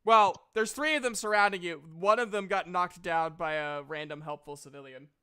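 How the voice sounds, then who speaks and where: A man's voice: high-pitched, moderate pace, bright, forceful tone, smooth. A man narrating a tabletop game as game master, casual and improvised, with joking, profane asides. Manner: jokey, profane.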